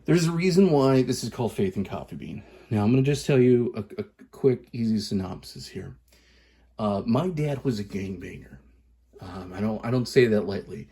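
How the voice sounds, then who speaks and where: A man in his thirties from Southern California, chatting excitedly and casually as he reviews coffee, with plenty of fillers and restarts. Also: mid-range voice, moderate pace, husky. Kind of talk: casual vlog chat.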